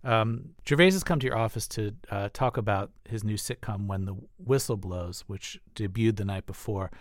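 Measured male radio host, dry sound. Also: fairly low pitch, dry recording. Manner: measured, conversational questioning. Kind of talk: radio interview.

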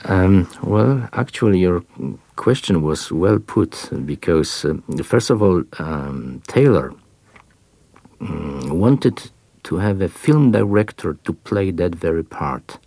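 A man with a Polish accent, answering interview questions in a halting way with many fillers and restarts. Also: low-pitched, lively intonation, warm tone, no echo. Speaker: interview guest.

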